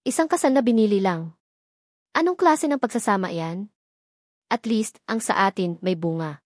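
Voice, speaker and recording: Proud, scornful young woman, mocking and sharp-tongued, read by a woman storyteller. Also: medium pitch, animated, normal speed, dry recording, clean studio sound, natural voice. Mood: sneering. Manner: sneering, mocking.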